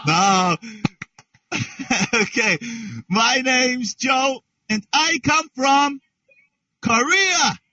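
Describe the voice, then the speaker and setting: Guy teaching little kids over a video call, super slow and drawn out, muffled lo-fi sound. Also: extremely high pitch, bright, forceful tone. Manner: slow, exaggerated, encouraging teacher talk with repeated prompts. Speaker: English teacher. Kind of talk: online class for young learners.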